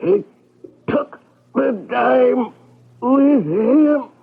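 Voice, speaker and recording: A terrified man begging for mercy in an old radio drama. His voice is creaky and slow, halting and stammering. The recording is tinny and narrow. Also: very high-pitched, exaggerated voice. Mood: terrified, pleading. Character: terrified, pleading man being choked and threatened. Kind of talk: 1970s radio drama acting.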